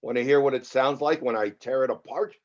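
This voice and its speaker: Through a dull, lo-fi microphone comes an actor as a controlling film producer. He is a man who speaks with calm menace, as if every question were a threat, in a read-through of a screen drama. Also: mid-range voice, a little fast, lightly acted. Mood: cold menace.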